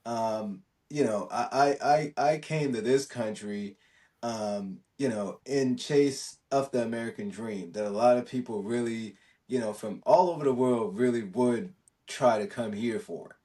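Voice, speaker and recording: A man's voice: medium pitch, measured pace, mellow, recorded from a distance. A man from Jamaica chatting casually and telling stories in a slangy way, often repeating his words and phrases. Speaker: podcast speaker. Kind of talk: casual chat, storytelling.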